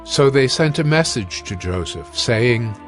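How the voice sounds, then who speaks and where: Measured male Bible narrator. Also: medium pitch, animated, faint music behind. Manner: measured, formal. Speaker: scripture narrator. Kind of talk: solemn scripted Bible narration.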